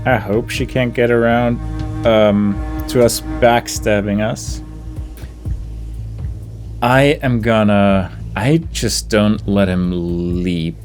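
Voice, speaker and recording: A man's voice: on the low side, slow, a little mellow. A man giving casual game commentary, muttering his tactics with dry exasperation. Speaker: gaming video host. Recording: game music behind the voice.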